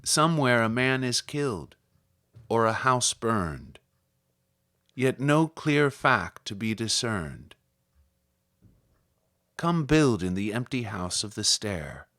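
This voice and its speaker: A man reciting literary poetry in a measured, solemn manner with deliberate phrasing. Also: medium pitch, very animated, pushed and bright, no echo, clean studio sound. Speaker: poetry reader.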